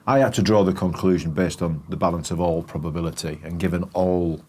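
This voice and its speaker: Low-voiced firm Yorkshire head teacher. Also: male voice, steady tone, darkish tone. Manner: firm, plain-spoken, measured. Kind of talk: documentary interview and school talk.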